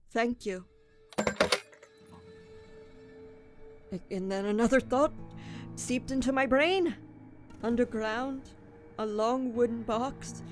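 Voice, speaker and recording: A woman's voice: fairly high pitch, natural voice. A woman playing a guilt-ridden young man, a desperate petty thief, confessing and narrating in a radio drama. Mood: haunted. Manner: brooding, dramatic first-person confession.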